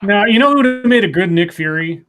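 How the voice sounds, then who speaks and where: A middle-aged man with a somewhat high voice, talking casually about what he thinks. He is emphatic and swears freely. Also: expressive, normal speed, over music. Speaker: podcast guest. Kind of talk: casual opinionated chat.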